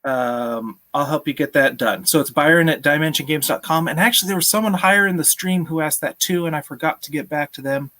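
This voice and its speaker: Higher-voiced man, casual stream host. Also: brisk, hardly any pauses, sharp tone. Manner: chuckles often while talking. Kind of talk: casual live stream chat with viewers. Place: small home room with sloped ceiling, indoors.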